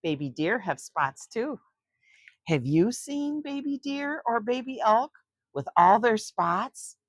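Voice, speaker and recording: An older woman hosting story time for children, reading a picture book aloud and chatting gently to young listeners in between. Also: a little high, very animated, slow, dry recording, clean studio sound. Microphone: close microphone.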